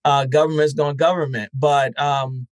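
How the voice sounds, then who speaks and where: A man talking as an interview guest, thoughtful and earnest, pausing often with "um" and restarting his sentences. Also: on the high side, flat delivery, smooth, no echo. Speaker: podcast festival founder, guest. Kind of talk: casual interview talk.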